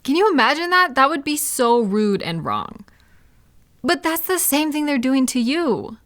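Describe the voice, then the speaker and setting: Woman performing a supportive female friend, slightly high pitch, casual and reassuring, intimate audio roleplay, very dry close sound. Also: very animated, unhurried, dry recording, full character voice. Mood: indignant. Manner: reassuring, validating, softly indignant on listener's behalf. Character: supportive, gentle female friend comforting a night owl. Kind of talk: intimate one-sided comfort roleplay, casual conversational.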